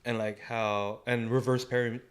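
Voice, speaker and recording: A man's voice: medium pitch, level tone, normal speed, clean tone. A man in his late twenties with a Californian accent, chatting casually as a podcast co-host in a loose, slangy way and searching for his words. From San Bruno, California, US. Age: late 20s. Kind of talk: casual chat.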